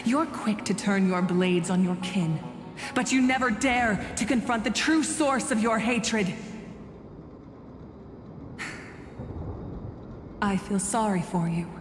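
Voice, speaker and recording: A woman's voice: medium pitch, unhurried, lightly acted. A woman playing a resolute, compassionate young heroine in a solemn video game drama. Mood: solemn resolve. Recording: echoey hall reverb under music.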